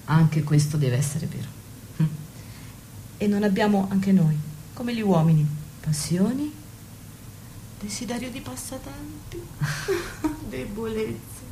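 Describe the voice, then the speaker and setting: Woman doing a worldly knowing maid in a radio play, mellow voice, deliberate and wry, slightly noisy recording. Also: medium pitch, lightly acted. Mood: knowing, pointed. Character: worldly, wry maid-confidante, defiant about husbands. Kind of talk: stage-play dialogue and monologue.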